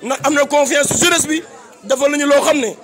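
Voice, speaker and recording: A Senegalese man delivers an impassioned tribute in a strikingly high, forceful voice. He declaims emphatically and repeats phrases for weight, with a faint outdoor crowd behind him. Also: fast talker. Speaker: political activist giving a tribute. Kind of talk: impassioned public praise speech.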